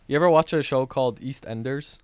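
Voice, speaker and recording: A young man with a clean, smooth voice of average pitch, recorded dry and close. He talks casually like a streamer, bluntly, swearing freely and leaning on slang. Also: fairly quick, no room sound. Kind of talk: casual chat.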